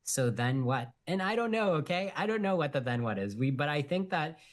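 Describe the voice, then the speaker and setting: A man's voice: a little high, expressive, a little fast. A man speaking as a guest on a podcast, talking in a hedging way with many fillers. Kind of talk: casual interview chat.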